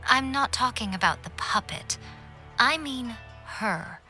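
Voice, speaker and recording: An actress playing a sly, playful shrine priestess who speaks in a coy, unhurried, teasing way, in a video game cutscene, with music behind her. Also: a little high, lively intonation, sharp tone, heavily acted.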